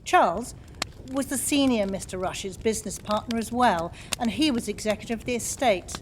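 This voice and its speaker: A woman's voice: a little high, normal speed, close to an everyday voice. A woman acting a touchy, outspoken Victorian lady in an audio play, emphatic and forthright. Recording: faint background noise.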